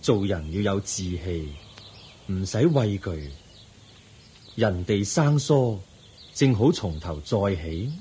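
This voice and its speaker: A man with a Hong Kong Cantonese accent playing a wise, compassionate Buddha, gentle and solemn, in a radio drama. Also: deep voice, expressive, slow pace, pauses often, a little hiss or hum. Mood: serene. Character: calm, wise, compassionate Buddha giving counsel.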